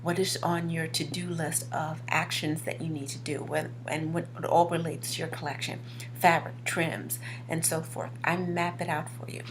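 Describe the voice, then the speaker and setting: A woman instructor with a slightly hoarse voice gives an informal promotional talk, conversational and self-correcting, repeating words for emphasis. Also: fairly low pitch, a little hiss or hum. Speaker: fashion course instructor.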